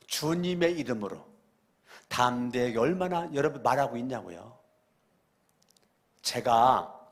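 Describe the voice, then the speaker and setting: A male pastor preaching a church sermon, repeating phrases and asking the congregation questions, moving between loud exclamations and quiet asides. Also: medium pitch. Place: church sanctuary during a weekday service.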